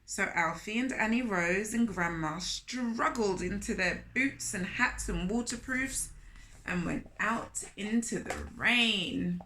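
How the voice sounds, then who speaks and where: A woman reading a picture book aloud to young children, animated and speaking to them directly with questions. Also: medium pitch, slow pace, slight background noise, rough recording. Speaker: children's storyteller, host of a storytime series.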